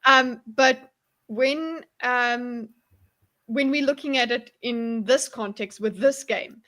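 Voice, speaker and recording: A woman's voice: on the high side, takes it slowly, fairly bright tone. A woman hosting a casual live panel chat and prompting the others. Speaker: host.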